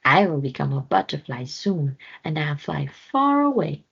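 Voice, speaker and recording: A woman's voice: low-pitched, very animated, a little slow, gravelly, husky, lo-fi sound, full character voice. A woman voicing a grumpy, self-important caterpillar in a children's storybook, curt and haughty. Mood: dismissive. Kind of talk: children's storybook read-aloud.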